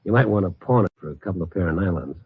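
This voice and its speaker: An actor playing a world-weary, tough but kindly newspaper columnist in a clipped, wry 1940s hardboiled radio drama style. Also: male voice, deep voice, animated, rapid-fire. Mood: gently reassuring. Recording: muffled vintage 1940s radio, music behind.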